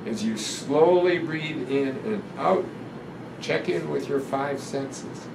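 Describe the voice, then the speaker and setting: Slow-paced older man reading, roomy and distant. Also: medium pitch, animated, vocal fry. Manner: gentle, deliberate, instructive, pausing between phrases. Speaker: guest doctor reading a picture book aloud. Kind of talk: children's storytime read-aloud with guided breathing instructions. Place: indoor room in front of a sequined curtain. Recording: roomy, distant pickup with noticeable ventilation hum.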